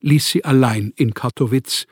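Radio play lead: male actor as melancholy literary man, mid-pitched, husky tone, reflective monologue. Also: moderate pace, dry recording, studio quality, close to an everyday voice. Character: melancholy, rootless male Austrian writer recounting his life.

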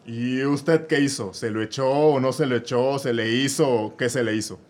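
A man's voice: mid-range voice, normal speed, lightly acted. A man with a Mexico City accent playing a stern but dim judge who asks curt questions. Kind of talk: comedic radio play acting.

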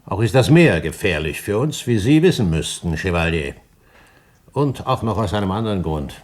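A man playing a composed, calculating pirate captain in a German radio play, speaking in a measured, formal and courteous way. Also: sits low, sing-song, a little mellow. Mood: cool, deliberate.

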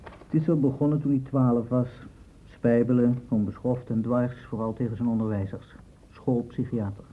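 An actor playing an informed, unsentimental doctor in a Dutch radio play, reporting in a clipped, factual way. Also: male voice, mid-range voice, steady tone, normal speed, slight background noise, natural voice. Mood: reporting, dry. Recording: muffled, dull old radio recording.

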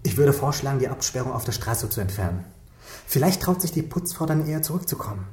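A hesitant junior detective, played by a man speaks at middle pitch in an echoey room. He is eager but deferential, in naturalistic radio crime drama style. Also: sing-song, fast talker, darkish tone, close to an everyday voice. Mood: tentative. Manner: tentative, deferential.

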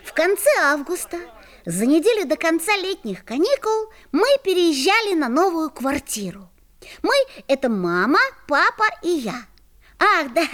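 A woman playing an excitable second-grade boy who narrates his own adventure in an audio fairy tale, expressive and wide-eyed. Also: sits high.

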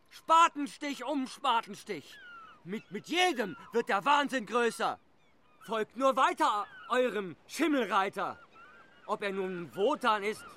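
Scornful village rebel, high bright voice, goading, radio drama, faint noise behind. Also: male voice, heavily acted. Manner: scornful, goading, emphatic pauses. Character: bitter, scornful village rebel challenging the dike master. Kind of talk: German radio play acting.

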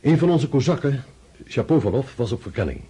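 A man acting an earnest, blunt staff general in a radio play, measured and dryly ironic. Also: medium pitch, very animated, dark tone, slightly rough, heavily acted.

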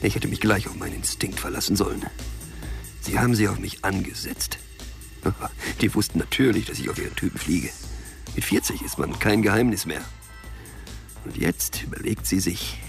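Suspicious small-time dealer around forty, creaky voice, sardonic, brooding, radio crime drama. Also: male voice, on the low side. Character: edgy, suspicious 39-year-old small-time cocaine dealer. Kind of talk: radio crime drama acting with inner monologue.

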